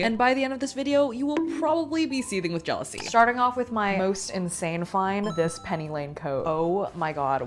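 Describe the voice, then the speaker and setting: A young woman from Taiwan, chatting casually and upbeat as she narrates her own fashion vlog straight to viewers. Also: mid-range voice. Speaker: fashion vlogger.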